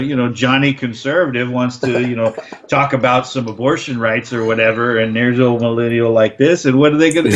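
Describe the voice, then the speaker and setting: A middle-aged man talking politics in a loose, meandering way, often restarting his sentences and chuckling as he goes. His voice sits at an ordinary middle pitch. The recording sounds a little dull and lo-fi. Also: slight background noise. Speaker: podcast co-host. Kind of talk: casual political chat.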